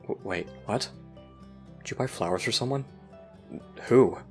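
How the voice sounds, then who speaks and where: A man playing a withdrawn, dry-humoured teenager in an audio roleplay, deadpan and hesitant. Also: medium pitch, unhurried, faint music behind, close to an everyday voice. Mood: flustered.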